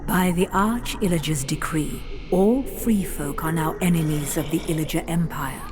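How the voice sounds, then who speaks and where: Casting: actress as grave female narrator for a fantasy adventure game, deep register, ominous storybook delivery, set over prominent music. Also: close to an everyday voice. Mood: urgent, foreboding. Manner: theatrical, ominous, deliberate phrasing. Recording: loud music behind. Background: dramatic game music.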